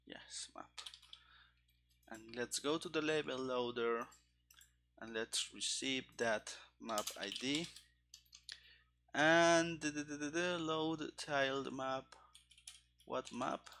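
A man in his thirties talking through live coding in a halting, muttering way, into a headset. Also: mid-range voice, gravelly, sharp tone, a little hiss or hum. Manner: halting, muttering to himself while working. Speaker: programmer, host of coding stream. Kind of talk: live coding commentary, thinking aloud. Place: indoor room at a computer desk. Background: keyboard typing and clicking.

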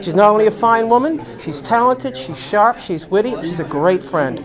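A man's voice: high-pitched, expressive, moderate pace, a crowd in the background, rough recording. A man, a market vendor hosting and introducing sellers in an upbeat, folksy salesman manner. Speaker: market vendor and video host. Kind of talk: promotional introductions and voice-over.

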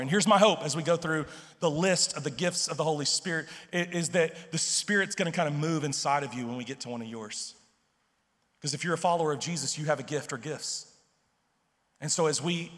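Male pastor, thirties, high voice, emphatic conversational preaching, handheld mic, long reverb from a big church hall. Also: fairly bright tone. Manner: emphatic, conversational, repeats words, frequent "okay?" and "right?". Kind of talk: sermon. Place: large church stage with purple lights.

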